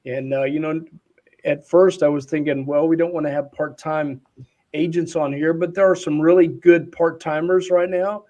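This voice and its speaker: Casual male podcast host, slightly higher voice. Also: fairly even intonation, normal speed, darkish tone. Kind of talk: casual conversational interview.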